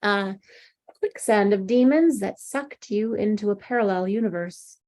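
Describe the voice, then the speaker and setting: A woman playing a composed, probing psychiatrist in a screenplay table read, speaking in a deliberate, clinical way. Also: medium pitch, darkish tone, close to an everyday voice. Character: composed, probing female psychiatrist, coolly authoritative.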